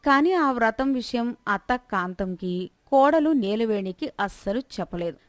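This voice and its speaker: A woman's voice: on the high side, lively intonation, measured pace, dry recording, studio quality. A woman narrating a scripted moral story in the manner of a storyteller who also acts out the characters.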